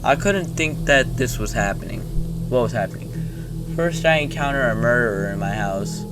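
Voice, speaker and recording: A man's voice: mid-range voice, expressive, moderate pace, very noisy. A man doing informal reaction commentary, reading a horror story aloud with exclamations and stumbles. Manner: informal, exclaiming, stumbling over read text. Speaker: reaction video host reading on-screen text aloud. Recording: loud music behind the voice. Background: music.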